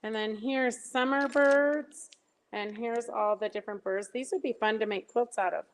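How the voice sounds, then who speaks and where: A woman hosting a casual interview, chatty and quick to add encouraging remarks. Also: on the high side, steady tone, slight background noise, rough recording. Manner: chatty, lots of encouraging backchannels. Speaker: show host.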